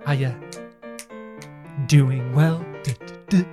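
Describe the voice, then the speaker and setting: A man's voice: medium pitch, takes it slowly, mellow, soft background music. An Australian man co-hosting a podcast, playful and joking in rambling casual chat. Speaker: podcast co-host.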